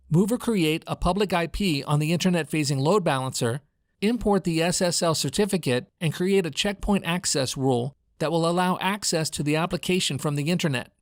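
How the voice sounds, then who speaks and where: American guy doing product demo voiceover, kinda higher voice, instructional, super dry sound. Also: no room sound. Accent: General American. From United States. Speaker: voice-over narrator. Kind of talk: scripted product demo narration. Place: home studio.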